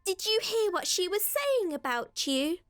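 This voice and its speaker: A woman's voice: very high voice, big pitch swings, measured pace, dry recording, faint music behind, exaggerated voice. A woman voicing a manipulative, coaxing little-girl doll in a horror radio drama. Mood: poisonous, scheming. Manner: coaxing, insinuating.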